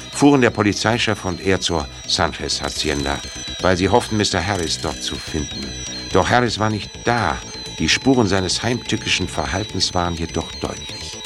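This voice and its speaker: A man narrating an audio drama in a scripted storytelling style. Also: deep voice. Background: music.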